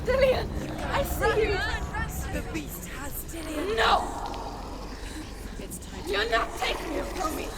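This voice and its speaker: An actress playing an anguished, defiant young warrior woman in a game, desperate and devoted, with breathy cries and vows. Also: extremely high pitch, sing-song, takes it slowly, pauses often, a loud crowd, very noisy, heavily acted.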